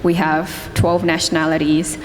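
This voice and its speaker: Low-voiced woman on a conference panel. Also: level tone, slight background noise. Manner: plain, measured, with fillers. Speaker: panelist, executive. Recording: reverberant conference hall.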